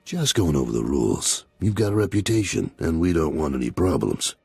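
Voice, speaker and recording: An actor playing a stern, menacing gangster guard in a video game, blunt and gravelly. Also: male voice, rumbling low, sing-song, brisk, a little mellow, dry recording, faint music behind, full character voice. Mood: stern warning. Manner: blunt, warning.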